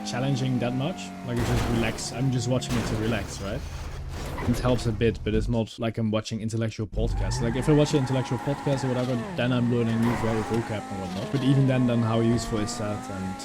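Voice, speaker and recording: A man's voice: medium pitch, fairly even intonation, fast talker. A young man with a Dutch-German accent, talking casually and rambling with many fillers while he plays a driving game. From Netherlands. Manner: rambling, chatty, many fillers and self-corrections. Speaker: gaming YouTuber. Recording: game car engine noise behind. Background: racing car engine and game sounds.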